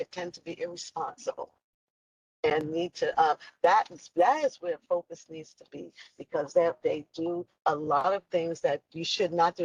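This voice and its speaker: A woman's voice: fairly low pitch, husky, no echo, low-quality recording. A woman voicing concern at a community meeting, hesitating and repeating herself on a video call. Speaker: community member. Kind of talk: public comment at a community meeting.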